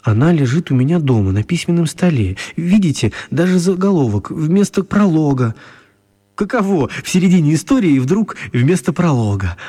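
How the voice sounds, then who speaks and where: A man's voice: on the high side, big pitch swings, normal speed, warm tone, slightly rough. An actor narrating an audio drama as a writer telling his own magical tale. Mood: astonished. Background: music.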